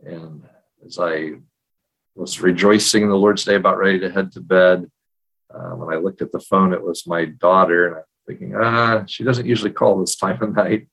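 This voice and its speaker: A middle-aged or older man co-hosting a podcast, talking casually in a measured, reflective way. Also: deep voice, fairly even intonation, gravelly, a bit bright, slightly rough, low-quality recording. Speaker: podcast co-host. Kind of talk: casual conversational podcast talk, personal storytelling. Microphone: video call.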